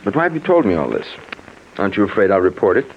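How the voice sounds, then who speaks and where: An actor playing a measured, questioning asylum doctor in 1940s radio suspense style. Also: male voice, fairly low pitch, sing-song. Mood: measured, probing. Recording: muffled, noisy vintage radio recording.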